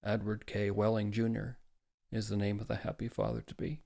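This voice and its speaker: A man's voice: on the low side, monotone, moderate pace, creaky, dark tone. A man voicing a frowsy, worn-out expectant father in a storybook reading, with hollow, sulky sarcasm. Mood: empty, wry. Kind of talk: storybook character in a read-aloud.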